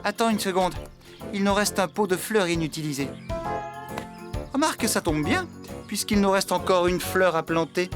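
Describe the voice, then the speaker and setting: A man's voice: high voice, lively intonation, normal speed, long pauses, music in the background. A man playing a cheerful, eager and helpful farmhand in a children's cartoon, with bouncy, showy enthusiasm. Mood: relieved, practical.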